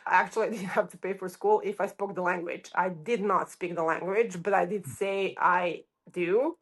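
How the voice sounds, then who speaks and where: A woman's voice: fairly low pitch, steady tone, normal speed. A middle-aged woman from Serbia with a Serbian accent, telling her story as an interview guest in an informal, chatty way peppered with "like". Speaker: architect, podcast guest.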